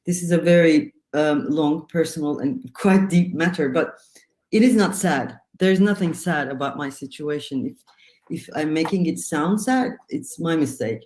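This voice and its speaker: A woman's voice: low-pitched, darkish tone. Reflective Turkish-born woman writer, roomy hall. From Turkey. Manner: reflective, halting, laughs between phrases. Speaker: panel speaker, writer. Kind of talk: panel discussion.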